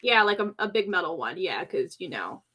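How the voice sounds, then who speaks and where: A woman's voice: fairly low pitch, sing-song, moderate pace, sharp tone. A woman chatting casually as a player in a tabletop roleplaying game.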